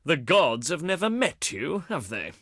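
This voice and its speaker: A man's voice: a little high, very animated, unhurried, a bit bright, husky, dry recording, studio quality, heavily acted. A male actor voicing a haughty, eloquent ancient Greek diplomat in a video game, with formal, declamatory and pompous delivery. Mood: contemptuous, weary. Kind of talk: theatrical game character acting, formal archaic speech.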